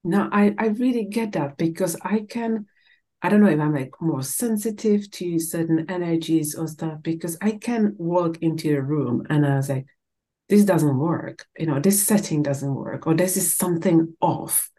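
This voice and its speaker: Low-voiced Czech woman hosting a podcast. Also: moderate pace, mellow. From Czech Republic. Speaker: podcast host. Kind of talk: casual conversational interview.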